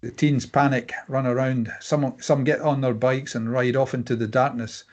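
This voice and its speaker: A man with a husky voice reading scripted narration and stage directions at a table read. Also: medium pitch, flat delivery, moderate pace.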